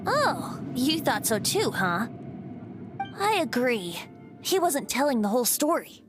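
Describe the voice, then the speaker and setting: A high, lilting young bard for an anime game. The delivery is light, courteous and wry, with a lively melodic swing. Music sits clearly behind. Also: female voice, a little slow. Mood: musing. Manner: light, wry, politely teasing.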